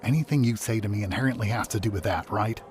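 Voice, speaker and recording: A man's voice: lowish voice, quick pace, lightly acted. A man playing a guarded, sarcastic hospital security worker in an audio drama, curt and weary. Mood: bitter, sarcastic. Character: bitter, guarded hospital security contractor, reluctant old friend.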